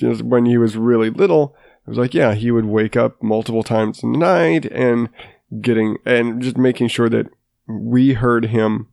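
Stuffy-nosed man, thirties, podcast host, gravelly voice, dry close mic, rambling and self-mocking. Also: medium pitch, a little mellow, no echo. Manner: rambling, self-deprecating, frequent restarts. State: congested from a sinus infection. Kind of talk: casual solo podcast monologue.

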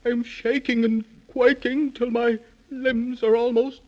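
A man's voice: very high-pitched, flat delivery, takes it slowly. An actor playing a shivering talking tree in a 1930s children's radio serial, stuttering and quavering as he grumbles good-humouredly. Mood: shivery, grumbling. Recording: dull lo-fi 1930s radio recording.

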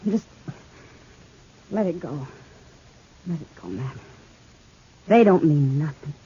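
A woman's voice: sits low, expressive, takes it slowly, warm tone, a little hiss or hum. An actress playing a proud, world-weary but tender frontier woman in a 1950s radio Western, speaking plainly and intimately. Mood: resigned, soothing. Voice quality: husky. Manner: plain-spoken, folksy, intimate. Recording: dull, muffled 1950s radio recording.

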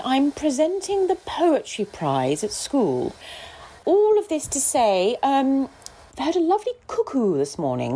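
A woman voicing a fussy, dotty elderly female poetry teacher with a croaky, theatrical voice and whispered asides. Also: warm tone, slight background noise, full character voice, poor audio. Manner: swooping pitch, whispered asides.

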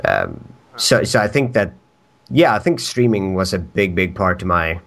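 Swedish-accented man, low voice. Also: wildly swooping pitch, normal speed, gravelly, fairly bright tone. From Sweden. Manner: rambling, laughs often, swears. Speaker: podcast guest. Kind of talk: casual podcast chat.

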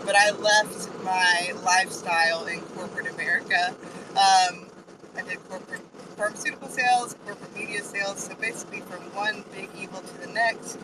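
A woman's voice: mid-range voice, fairly even intonation, moderate pace. A woman in her forties, a truck driver, introducing herself in a casual audio chat in a candid, self-deprecating way with many ums while driving. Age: 40s. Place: truck cab on the road. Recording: distant mic with loud road noise.

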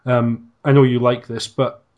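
A man in a podcast conversation, speaking in a measured, thoughtful way. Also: medium pitch, flat delivery, dull audio. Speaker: podcast guest. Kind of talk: reflective conversation.

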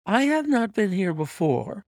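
A man's voice: sits high, big pitch swings, unhurried, darkish tone, no room sound, clean studio sound, pitched above the performer's own voice, full character voice. A man voicing an anxious, secretive wife who pleads desperately and emphatically in an audiobook mystery. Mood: defensive. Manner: imploring, emphatic, breathless entreaty. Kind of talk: dramatized audiobook reading of a classic mystery story.

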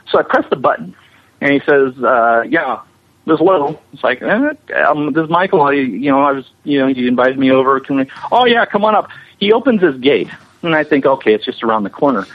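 Husky man chatting, muffled line. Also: mid-range voice. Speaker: visual effects animator being interviewed. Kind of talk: casual anecdotal interview storytelling. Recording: muffled band-limited radio-like line.

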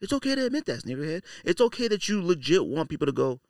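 A man's voice: a little high, very animated, fast, darkish tone, no room sound. Male podcast host, dry close sound. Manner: conversational, slangy, repeats phrases for emphasis; opinionated, direct address to listeners. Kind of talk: casual solo podcast commentary.

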